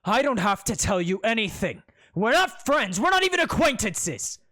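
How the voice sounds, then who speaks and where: A man's voice: high-pitched, very animated, moderate pace, voice pushed up. A storyteller voicing a haughty, spoiled young male prince who huffs and sneers. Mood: defensive. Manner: huffy, sneering and indignant.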